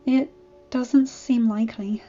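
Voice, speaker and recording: Bookish, earnest young mare, measured delivery; woman voicing. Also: fairly high pitch, a little mellow, highs cut off, lightly acted. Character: bookish earnest female unicorn pony.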